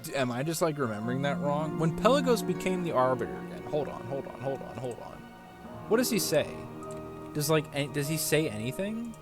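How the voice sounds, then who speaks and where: A man's voice: a little high, big pitch swings, fairly quick. A man giving casual, ranting gameplay commentary as a streamer, exasperated and sweary. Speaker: game streamer. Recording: game music behind the voice.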